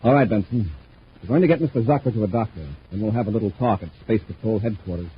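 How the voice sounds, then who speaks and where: A man playing a calm, authoritative space patrol commander in terse 1950s radio style. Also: lowish voice, quick pace, close to an everyday voice. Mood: stern questioning. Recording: muffled old radio recording, faint noise.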